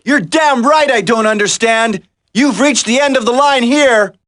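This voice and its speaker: An actor playing an earnest, determined young special agent in a video game, speaking dramatically. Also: male voice, extremely high pitch, measured pace, a bit bright, no room sound, clean studio sound. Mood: firm, earnest. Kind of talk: video game dialogue acting.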